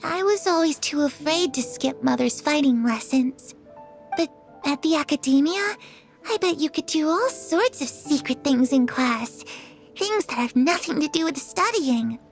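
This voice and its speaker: Very high-pitched, wistful ghost girl. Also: animated, a little slow, faint music behind. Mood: wistful, playful. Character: gentle ghostly shadow of a young girl, wistful and curious. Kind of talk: video game voice acting.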